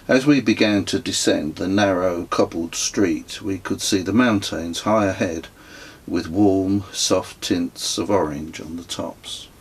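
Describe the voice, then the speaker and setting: A middle-aged man reading his own travel memoir as scripted narration, in a measured, reflective way, with a husky voice. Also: low voice.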